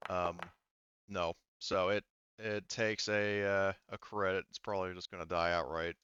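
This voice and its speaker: A man running an online tabletop roleplaying game as game master, talking haltingly as he thinks aloud through the rules. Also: low voice, little pitch movement, fairly bright tone, clean tone, dry recording, highs cut off.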